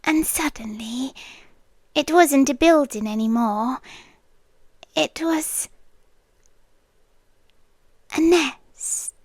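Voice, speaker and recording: A high, intimate British female voice, a woman acting as an obsessive landlady girlfriend in ASMR roleplay. She speaks extremely slowly, sweet yet controlling, often sinking into a breathy whisper. The sound is dry and close. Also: dry recording. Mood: obsessive, tender. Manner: slow, deliberate, coaxing and commanding.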